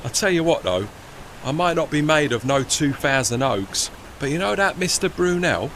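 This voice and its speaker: Man playing a plucky, high-voiced steam tugboat. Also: lively intonation, normal speed, a bit bright, slight background noise. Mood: cheeky pride. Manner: colloquial, folksy, non-standard grammar. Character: plucky working-class male steam tugboat, cheeky and proud. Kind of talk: audio drama acting.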